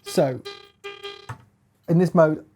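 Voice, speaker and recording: A man giving a casual hands-on demonstration as a gear reviewer, explaining in a halting, hesitant way. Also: on the high side, warm tone, soft background music.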